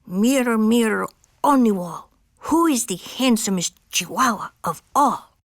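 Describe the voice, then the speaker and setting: A woman's voice: sits high, very animated, unhurried, no echo, clean studio sound, pitched above the performer's own voice, exaggerated voice. A woman voicing a playful, boastful little boy kitten who plays at being a chihuahua with a theatrical put-on Spanish accent. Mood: vain.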